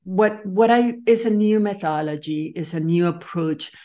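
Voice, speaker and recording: A woman with a Nicaraguan Spanish accent speaks low and unhurried as an interview guest. She pauses, restarts and searches for her words. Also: mellow. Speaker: guest, leadership coach. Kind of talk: conversational interview.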